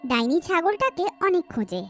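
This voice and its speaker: A woman's voice: extremely high pitch, sing-song, normal speed, a bit bright, faint music behind. A woman reading scripted storytelling narration for a horror cartoon.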